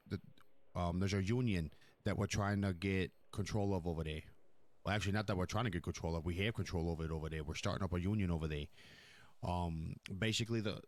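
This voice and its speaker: A man improvising a mafia boss in a role-play, talking conversationally in a profane, rambling, explanatory way. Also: sits low. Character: mafia boss, profane, laying down the crew's hierarchy. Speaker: role-play streamer playing a crime boss.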